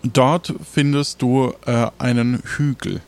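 A man improvising as game master in an adventure game, talking in a casual, colloquial and halting way. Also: mid-range voice, fairly even intonation, creaky.

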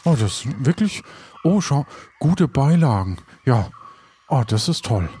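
A man's voice: mid-range voice, big pitch swings, measured pace, warm tone, slightly rough, exaggerated voice. A man playing a shy, eager pirate cook in an improvised audio drama, hesitant and repeating his words.

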